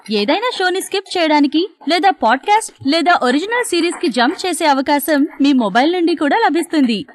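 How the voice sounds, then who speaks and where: A woman's voice: high voice, no echo. A woman reading a scripted advertisement voice-over as a promo announcer.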